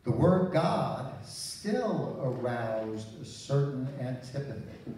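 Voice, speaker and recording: An older man with a mellow voice reads aloud slowly and carefully at a church service. The sound is very echoey and a little distant. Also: medium pitch. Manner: measured, careful reading. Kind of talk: reading aloud from printed text. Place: small church sanctuary. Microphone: handheld microphone, filmed from audience. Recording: echoey hall, distant mic, faint noise.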